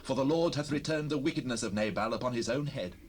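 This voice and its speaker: A man's voice: mid-range voice, fast talker, lightly acted. An actor playing a proud, devout warrior leader in a dramatized King James Bible, speaking in solemn, declamatory archaic style. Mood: solemn relief. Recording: unprocessed cassette rip with noise.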